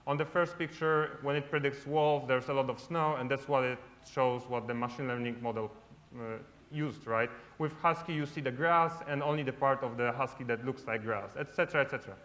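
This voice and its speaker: Bright-voiced man giving a talk. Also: mid-range voice, fairly quick. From Poland. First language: Polish. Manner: casual, peppers sentences with "right?". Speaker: conference speaker. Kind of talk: conference talk. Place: large lit stage.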